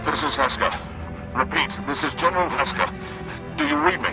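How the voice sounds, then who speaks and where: A man playing an efficient, obedient Imperial general in a game, giving brisk military reports. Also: on the high side, wildly swooping pitch, vocal fry, music in the background, heavily acted. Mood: brisk, businesslike. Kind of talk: video game cutscene acting.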